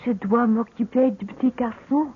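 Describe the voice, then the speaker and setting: A woman's voice: a little high, normal speed, phone-quality sound, lightly acted. An actress playing a haunted young woman who sounds distant and eerily polite, in 1970s radio drama style. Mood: detached, polite. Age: young adult. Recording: thin, noisy old radio recording.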